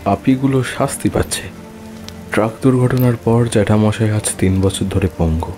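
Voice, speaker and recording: A man's voice: on the low side, natural voice. A man playing a loving, grieving soldier brother in an audio drama, emotional and pleading, repeating himself. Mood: sorrowful. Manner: emotional, pleading, halting repetitions. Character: grieving war-veteran elder brother, loving, anguished. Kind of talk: Bengali horror audio drama acting. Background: music and rain.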